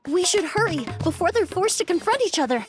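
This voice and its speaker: An actress playing a composed young perfumer who speaks in a measured, reassuring way in a game scene. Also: female voice, extremely high pitch, animated, loud music behind the voice. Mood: serious. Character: calm young woman perfumer, composed and gentle. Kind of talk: game cutscene dialogue.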